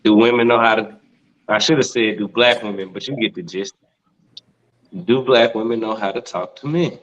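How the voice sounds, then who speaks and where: A man's voice: fairly low pitch, moderate pace, fairly bright tone. An American man hosting a casual panel discussion and putting questions to the others. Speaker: host posing questions. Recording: slightly dull, lo-fi sound.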